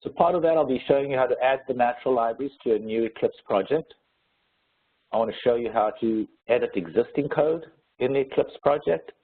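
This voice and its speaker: A man with a stuffy head cold, presenting a webinar in a relaxed, conversational way with fillers. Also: mid-range voice, fairly quick, breathy edge. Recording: tinny phone-line webcast audio.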